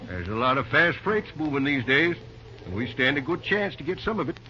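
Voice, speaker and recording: A man's voice: a little high, very animated, fairly quick, pushed and bright. An actor playing a kindly, folksy older American railroad man with country talk in an old-time radio drama. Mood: earnest, hopeful. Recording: muffled, noisy old radio recording.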